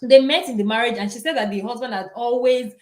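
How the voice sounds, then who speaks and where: A woman's voice: fairly high pitch, fast talker, a little mellow. A woman co-hosting a podcast, opinionated and conversational, often saying "you know". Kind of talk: casual podcast chat.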